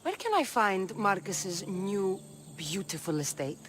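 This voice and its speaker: A woman's voice: mid-range voice, big pitch swings, unhurried, slight background noise. A woman voicing a tough, sardonic young Greek mercenary in a video game, speaking with a Greek accent and a dry, defiant manner. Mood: sarcastic. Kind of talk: video game cinematic acting. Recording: music bed under dialogue.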